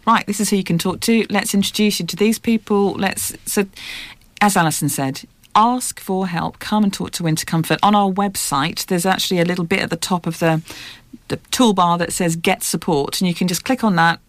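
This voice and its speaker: A woman's voice: lowish voice, brisk, no echo. A woman speaking for a support charity, direct and reassuring in conversation. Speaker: support charity representative, interview guest. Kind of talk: conversational public appeal.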